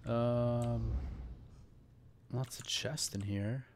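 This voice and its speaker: A man's voice: medium pitch, steady tone, extremely slow, darkish tone, a little hiss or hum. A man hosting a let's play, giving casual, offhand gaming commentary with joking slang. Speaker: gaming video host. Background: game music, keyboard clicks.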